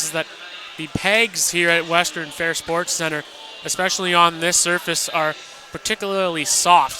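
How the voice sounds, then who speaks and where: High-voiced hockey color man. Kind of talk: live sports analysis commentary. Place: ice hockey arena. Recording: noisy live arena broadcast.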